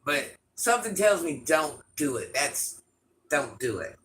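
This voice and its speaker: A man with an itchy, scratchy throat, hosting a livestream in a grandmother persona and chatting through viewer comments with quick laughs and asides. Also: fairly high pitch, very animated, fairly bright tone. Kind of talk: casual live chat answering viewer comments.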